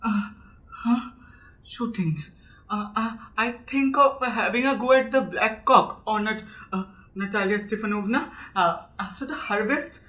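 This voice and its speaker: Woman playing an excitable, stammering Indian hypochondriac landowner. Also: mid-range voice, measured pace, close to an everyday voice. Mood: hesitant, rueful. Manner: stammering, repeating words. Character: excitable, hypochondriac male landowner and proud suitor. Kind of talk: amateur student stage-play audio drama.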